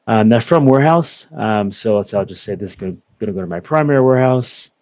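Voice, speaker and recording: A young man giving a methodical, step-by-step software walkthrough over a video call. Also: on the low side, animated, fast talker, no room sound. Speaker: software product presenter. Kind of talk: screen-share software walkthrough.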